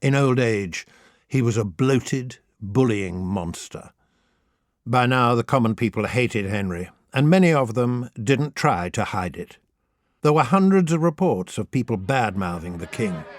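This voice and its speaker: A man's voice: medium pitch, animated, measured pace, dry recording, studio quality. A man reading scripted humorous history as an audiobook narrator, in a wry, theatrical storytelling manner.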